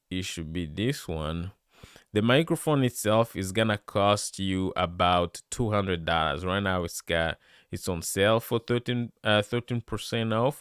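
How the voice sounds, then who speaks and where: Deep-voiced man reviewing mics live. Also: smooth, dry recording, clean studio sound. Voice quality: deep, bassy voice. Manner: chatty, excitable, many fillers. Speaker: tech live streamer. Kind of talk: casual live stream product review. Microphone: studio dynamic microphone close up.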